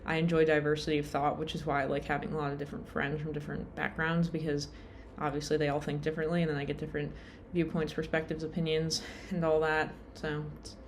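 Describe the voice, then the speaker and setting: Low-voiced woman rambling casually. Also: little pitch movement, quick pace, few pauses, slight background noise. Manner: rambling, lots of "like" and "um", self-deprecating. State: tired. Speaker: vlogger.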